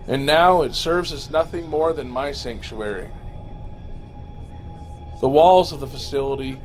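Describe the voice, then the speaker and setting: A man playing a lonely, weary, haunted apocalypse survivor, telling his story in an amateur audio drama with halting, dramatic pauses. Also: on the high side, close to an everyday voice. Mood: brooding, haunted. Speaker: amateur voice actor. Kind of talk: scripted first-person audio drama narration.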